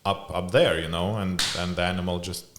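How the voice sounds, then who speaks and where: A man's voice: low-pitched, steady tone, a little fast, background noise. A man from Lithuania with a Lithuanian accent, speaking English as a second language, who hosts a casual podcast interview and sometimes searches for words and jokes along. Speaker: podcast host.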